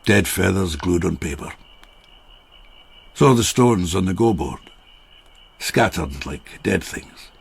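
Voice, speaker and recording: A gravelly, jovial grieving farmer. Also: male voice, low voice, animated, slow, pauses often, full character voice. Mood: reflective. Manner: jovial, rambling, slightly tipsy. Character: grieving widowed peasant farmer, boisterous, fond of drink. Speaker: Takeshi, a farmer friend. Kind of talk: radio drama acting.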